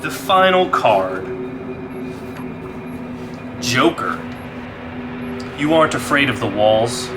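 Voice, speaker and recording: A man's voice: mid-range voice, background noise. A man who hosts a gaming channel, talking to his audience and telling stories of solo play. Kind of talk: dramatized horror story narration and casual review.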